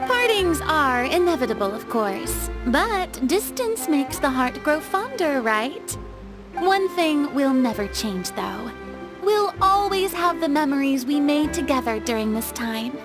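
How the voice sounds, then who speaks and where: A woman playing a poised, gentle young lady in a video game, measured and sentimental. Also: high-pitched, big pitch swings, music in the background. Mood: tender.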